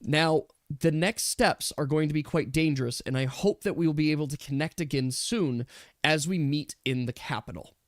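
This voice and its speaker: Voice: mid-range voice, moderate pace, no echo, clean studio sound. A game master narrating a tabletop role-playing game in a casual, conversational way.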